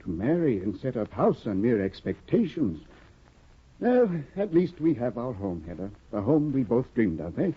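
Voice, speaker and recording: Flustered young scholar, old radio play, breathy voice, phone-thin sound. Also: male voice, mid-range voice, big pitch swings, moderate pace. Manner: flustered, stammering and eager. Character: fussy, anxious young scholar husband. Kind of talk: 1950s radio drama acting. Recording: tinny old radio broadcast.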